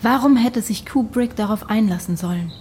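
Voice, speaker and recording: An actress in a radio play playing a dry, sceptical questioner. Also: female voice, mid-range voice, normal speed, darkish tone, a little hiss or hum, lightly acted.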